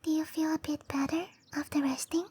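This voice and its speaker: A woman voicing a shy, gentle, scatterbrained cherry blossom dryad girl in a hesitant, apologetic whisper for roleplay ASMR. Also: high-pitched, flat delivery, a little fast, natural voice. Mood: gentle, explaining. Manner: hesitant, apologetic, breathy whispering with soft giggles.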